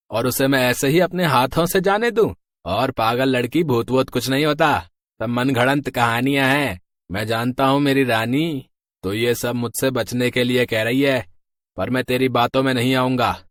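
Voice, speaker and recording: A man's voice: medium pitch, a bit bright, no room sound, close to an everyday voice. Casting: male actor as a sleazy, threatening rickshaw driver in a horror tale, mid-to-raised voice, sneering, dry recording. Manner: leering, sneering, coarse. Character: lecherous, menacing male auto-rickshaw driver. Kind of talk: horror story character dialogue.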